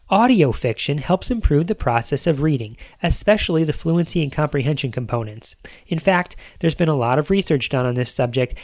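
A man's voice: a little high, a little mellow, no echo. An American man narrating a scripted podcast as host, with an emphatic, upbeat presenter delivery.

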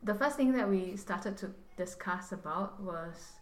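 A Singaporean woman talks at a middle pitch in a roomy, echoing space. She picks her words carefully and explains her point with examples, like a guest opening up on a podcast. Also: a little slow. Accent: Singaporean English. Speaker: pastor and seminary student. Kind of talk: reflective conversational podcast guest.

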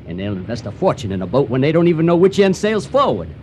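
A man's voice: on the high side, sing-song, fast, darkish tone. An actor playing a folksy, menacing con-man pirate who poses as a pious sailor, drawling and taunting in a radio drama. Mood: mocking glee. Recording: noisy storm-like ambience behind voice.

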